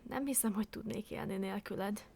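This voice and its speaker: A woman voicing a clingy young girlfriend in a fan dub, teasing at first and then breaking into shrill, sobbing hysterics. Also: vocal fry, darkish tone, slight background noise, close to an everyday voice. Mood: tender. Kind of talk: amateur fan dub acting.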